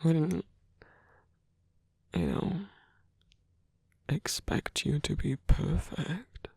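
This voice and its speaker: A performer in an intimate ASMR roleplay, voicing a gentle, protective supernatural lover with a husky, breathy voice and a hesitant, earnest delivery. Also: medium pitch, big pitch swings, drawn-out words, no echo, studio quality, heavily acted. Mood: earnest, devoted.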